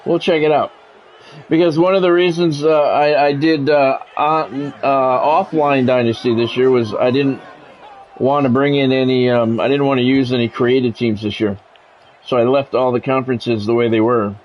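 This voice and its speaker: A man's voice: medium pitch, steady tone, moderate pace, lo-fi sound, slight background noise. A man livestreaming a video game, giving casual commentary and chatting with viewers in a repetitive, explanatory way.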